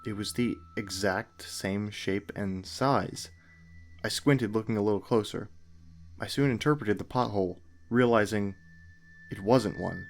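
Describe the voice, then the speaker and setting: A man reading a scripted first-person horror story in a measured way, with dramatic pauses. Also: fairly low pitch. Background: music.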